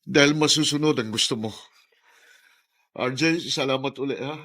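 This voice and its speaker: A man's voice: mid-range voice, moderate pace, fairly bright tone, close to an everyday voice. An actor playing a hurt, self-sacrificing father in a Filipino radio drama, pleading and resigned. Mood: protective.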